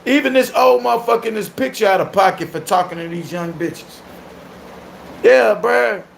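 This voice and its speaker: A man in his thirties hosts a livestream and talks back to his viewers' comments in a loud, animated, crude way, laughing often. His voice sits a little higher than most men's, with a faint hiss of noise behind it. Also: moderate pace, gravelly. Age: 30s. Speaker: livestream host. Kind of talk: casual livestream chat, reacting to viewer comments.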